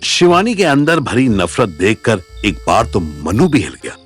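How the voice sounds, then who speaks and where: Lively, expressive male storyteller. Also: lowish voice, a little slow. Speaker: narrator. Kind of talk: scripted audio story narration in Hindi with English words. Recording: music bed behind voice.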